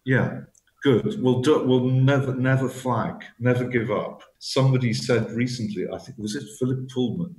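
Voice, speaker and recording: A man's voice: mid-range voice, moderate pace, a little mellow, reverberant, rough recording. A man being interviewed as an author. He talks reflectively, often repeating words as he searches for the right phrase. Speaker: author guest.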